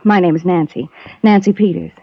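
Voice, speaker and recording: A woman's voice: on the low side, fairly even intonation, a little fast, vocal fry, slightly rough, no room sound. An actress playing an earnest, anxious young woman in a 1940s radio drama, speaking with clipped urgency. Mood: anxious, pleading. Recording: dull vintage radio recording.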